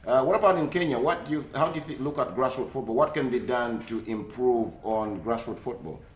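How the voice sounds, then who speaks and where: A man's voice: medium pitch, a little fast, echoey room, slight background noise. A man from Zambia hosting a sports radio show, asking friendly questions with many hesitations and fillers. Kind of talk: radio phone interview. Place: living in the UK.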